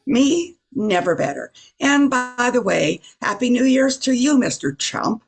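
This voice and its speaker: Older woman acting husky, creaky newsroom boss. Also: on the high side, expressive, measured pace, heavily acted. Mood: teasing, wry. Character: brisk, self-assured pioneering female radio newsroom boss, teasing. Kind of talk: 1940s radio comedy acting.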